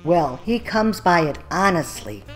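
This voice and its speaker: A woman playing a prim older mother in a radio play, measured and mildly disapproving. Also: fairly low pitch, very animated. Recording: music behind the voice.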